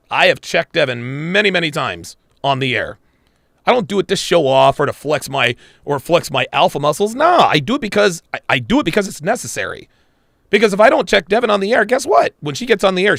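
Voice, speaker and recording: A man's voice: high-pitched, vocal fry, a bit bright, dry recording, studio quality. A man hosting a live reaction stream, blunt and crude, swearing and talking to his chat viewers. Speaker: podcast host reacting to a clip.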